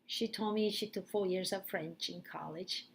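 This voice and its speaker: Gravelly middle-aged woman. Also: medium pitch, moderate pace, slight background noise, poor audio. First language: Korean. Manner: hesitant, earnest, many fillers. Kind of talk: personal testimony.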